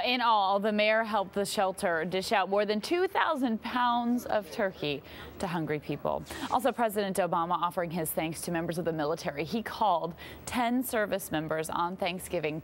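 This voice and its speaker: A woman's voice: lowish voice, moderate pace, slight background noise. A woman with an American accent presenting morning television news as an anchor, with some light banter.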